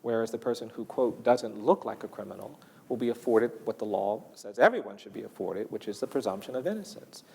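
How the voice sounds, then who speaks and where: A man giving a measured, formally phrased explanation in a documentary interview. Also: on the low side, animated, creaky, warm tone, breathy edge, big-room sound.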